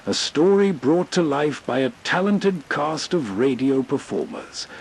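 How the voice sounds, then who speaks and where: A man hosting with atmospheric, scripted narration, soothing and full of storyteller pauses. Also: a little high, gravelly, a little mellow, husky, poor audio. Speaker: host introducing old radio stories.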